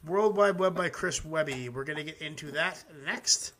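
A man with a high, bright voice hosts a live music reaction stream. He talks loosely and profanely, packed with fillers, and now and then reads out his own joking poems. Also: normal speed. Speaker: host of a music reaction show. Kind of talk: casual live-stream chat.

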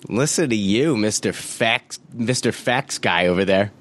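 A fairly low-pitched man hosts a podcast in an easygoing, chatty way. He reads listener reviews aloud, laughs often and drops the odd swear word. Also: animated, a little slow, a bit bright. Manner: laid-back, laughs often, swears casually. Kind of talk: casual podcast chat.

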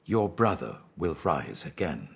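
A British man plays a compassionate, authoritative holy teacher in a scripture story. His voice is low and very husky, with slow, grave delivery. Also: very animated, a bit bright, full character voice. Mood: calm assurance. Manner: measured, grave, commanding.

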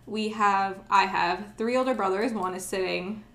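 A woman's voice: medium pitch, moderate pace, fairly bright tone, roomy sound. An eighteen-year-old woman co-hosting a podcast in a casual, teasing chat with her brother. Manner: teasing, laughs often. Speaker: podcast co-host.

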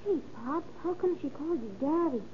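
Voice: high-pitched, fairly quick, natural voice. A performer playing an eager, trusting little American boy with earnest slangy exclamations in an old radio drama. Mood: curious. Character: eager, trusting little boy who admires his father. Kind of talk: 1930s radio drama acting. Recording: muffled, noisy old radio recording.

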